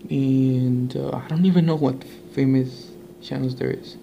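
A man's voice: mid-range voice, steady tone, a little slow, gravelly, warm tone, lo-fi sound. A man chatting casually and jokingly in slang, switching languages, as host of a prank chat. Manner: joking, slangy, switches between English and Spanish. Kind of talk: casual prank chat over a walkie-talkie app. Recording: noisy amateur home recording.

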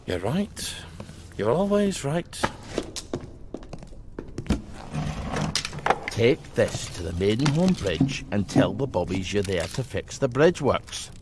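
Blustering British father, wildly varied pitch. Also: big pitch swings, unhurried, very noisy. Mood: coaxing. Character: excitable, gruff middle-aged father, defensive and stubborn. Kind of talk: dramatic video game acting.

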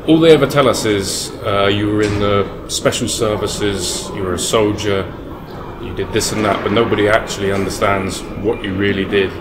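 A man's voice: lowish voice, fairly even intonation, moderate pace. A man hosting an interview on a sofa in a big indoor hall, asking friendly, direct questions. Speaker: interviewer and channel host. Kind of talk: casual interview. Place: large bright indoor hall, seated on a sofa. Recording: noisy room with air conditioning.

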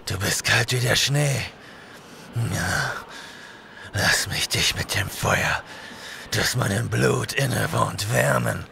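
Fierce young male barbarian, very gravelly, slow, swinging pitch, audio drama. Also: pushed and bright, a little hiss or hum, heavily acted. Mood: lustful, menacing. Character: young barbarian warrior, wrathful, fierce and lustful.

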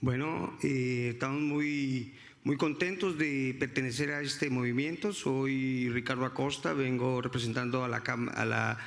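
A man's voice: mid-range voice, fairly even intonation, measured pace. A man from Mexico, gratefully introducing his association on a conference stage with a handheld mic. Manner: warm, grateful, repeats himself. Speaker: association representative. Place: large conference hall on stage. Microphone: handheld microphone. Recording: reverberant conference hall.

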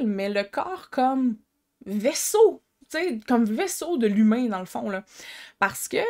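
A woman in her thirties with a Québécois accent, talking frankly and expressively to camera from her living room. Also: a little high. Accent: Québécois French. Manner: expressive, gestures with hands, frank and chatty. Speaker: sex coach vlogger. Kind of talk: casual talk to camera. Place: living room at home, indoors.

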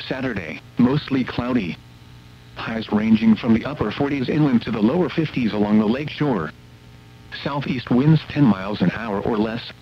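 Guy reading a weather radio forecast, formal and measured, mid pitch, dull sound with hum and static.

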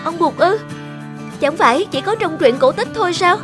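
A man's voice: extremely high pitch, expressive, moderate pace, over music. A man voicing a weary, longing young singer in a cartoon, with a plaintive, theatrical delivery. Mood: plaintive, wishful. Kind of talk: cartoon voice acting.

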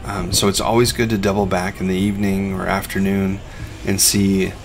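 A man's voice: low voice, level tone, normal speed, noisy background. A middle-aged man, a reseller who hosts a vlog, narrating over his footage in a laid-back, conversational way.

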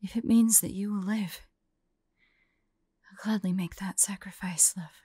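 A woman playing a devoted young heroine in an audio roleplay, halting and breathy, often dropping into whispers. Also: mid-range voice, steady tone, mellow, dry recording. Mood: exhausted, urgent. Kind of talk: intimate acted audio roleplay.

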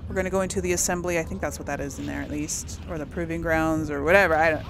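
A woman's voice: low-pitched, fairly even intonation, quick pace, gravelly, noisy background. A woman hosting a casual let's play, chatting and thinking aloud as she plays. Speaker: gaming channel host. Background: game music.

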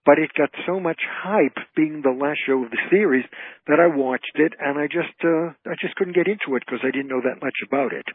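Husky man on a tinny phone line. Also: fairly high pitch, a little fast. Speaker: radio show guest, entertainer and trivia author. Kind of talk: casual interview chat.

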